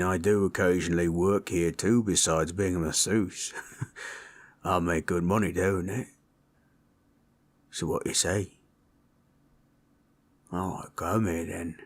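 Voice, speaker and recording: A man playing a big, gentle male orc, a former war boss, in an intimate, soothing audio roleplay with folksy, reassuring speech. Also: sits low. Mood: tender, teasing. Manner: folksy, nonstandard grammar, reassuring murmur.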